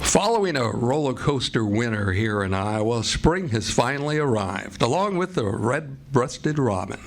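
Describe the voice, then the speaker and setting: A man's voice: mid-range voice, big pitch swings, moderate pace. A man announcing in a formal, presentational old-time radio style to a live audience. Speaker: announcer and director. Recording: live stage show with music and audience. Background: music and audience noise.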